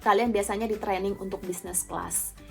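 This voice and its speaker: A woman's voice: mid-range voice, fairly even intonation, a little fast, gravelly. A young woman with an Indonesian accent, talking casually and informally to viewers as she answers their questions. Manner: informal, conversational, addresses viewers directly. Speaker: vlogger, former or current flight attendant. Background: background music.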